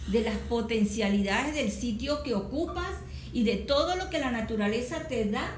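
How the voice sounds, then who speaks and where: In a reverberant room with faint noise behind her, a Venezuelan woman takes part in a panel discussion. Her voice is fairly high, and she explains things in an earnest, emphatic way. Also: expressive, normal speed. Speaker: panelist on environmental issues.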